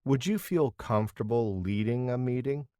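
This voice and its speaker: A man playing an interviewer in a scripted practice interview for English learners, reading questions clearly. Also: medium pitch, moderate pace, dark tone, dry recording, clean studio sound.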